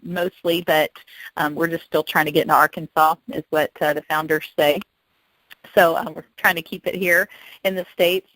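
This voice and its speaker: Chatty woman giving an informal sales pitch. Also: a bit bright, no room sound, rough recording. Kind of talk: informal sales presentation on a conference call. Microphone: telephone conference call.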